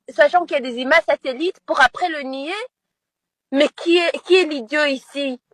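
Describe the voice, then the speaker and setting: High-voiced woman, insistent. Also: a bit bright, no room sound. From Rwanda. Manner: insistent, repeats words when stumbling. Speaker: interview guest. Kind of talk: impassioned political interview.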